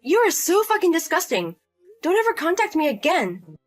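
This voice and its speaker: A woman's voice: big pitch swings, normal speed, soft background music. A woman voicing a fed-up young wife who sharply and defiantly refuses her brother-in-law, in a voice-acted drama story. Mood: disgusted. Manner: sharp, repetitive refusals, high-pitched.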